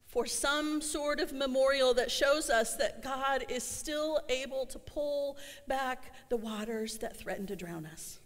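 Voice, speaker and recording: A middle-aged woman pastor delivering an earnest sermon, slowing down to stress her refrains, recorded in a church sanctuary. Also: high voice, a bit bright. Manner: earnest, slows for emphasis on refrains. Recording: long church hall reverb.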